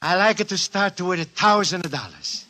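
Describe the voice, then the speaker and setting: A man's voice: sits high, normal speed, sharp tone. A male actor playing a naive, stubborn Italian immigrant who speaks hesitant broken English with an Italian accent in a 1940s radio comedy. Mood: puzzled, stubborn. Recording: noisy, clicking 1940s radio recording.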